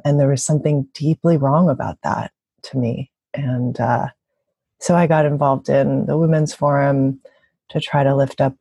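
A woman speaks as an interview guest in a low, dark-toned voice with a husky edge. She is reflective and thoughtful, talking conversationally about her own experience. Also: no echo, highs cut off. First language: bilingual, also speaks Mandarin. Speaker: interviewee, labor organizer and activist.

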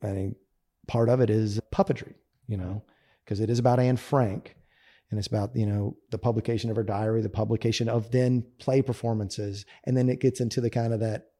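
A man's voice: on the low side, fairly even intonation, fairly quick, warm tone. A man being interviewed as a guest, talking eagerly and chattily, often restarting his sentences and laughing between thoughts. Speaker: guest actor. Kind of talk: casual conversational interview.

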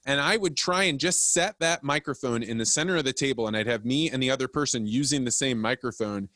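A man's voice: mid-range voice, fairly quick, bright, forceful tone, no room sound, studio quality. A man hosting a podcast, talking casually and explaining things as if giving practical advice. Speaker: podcast host.

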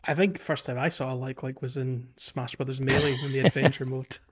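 A man's voice: mid-range voice, fairly even intonation, brisk, a crowd in the background. Chatty man podcasting, thinking aloud. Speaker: podcast co-host. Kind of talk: casual video game chat.

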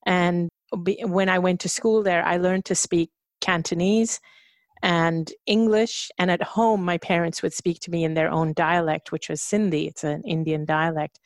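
A woman from Hong Kong, a guest on an interview show, telling her story hesitantly with frequent pauses. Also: on the low side, steady tone, no room sound. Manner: hesitant, with many fillers and restarts.

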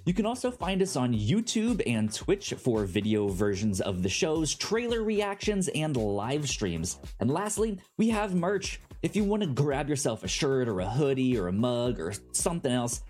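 A man's voice: mid-range voice, big pitch swings, moderate pace, hardly any pauses, darkish tone, soft background music. Stammering man hosting a podcast. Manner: stammers, repeating sounds and words. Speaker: podcast host. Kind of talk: casual podcast chat.

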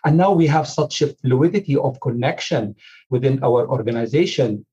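Arabic-accented man, muffled and husky. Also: medium pitch, expressive, moderate pace, mellow. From Syria. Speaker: podcast guest, agile coach. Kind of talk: reflective interview talk.

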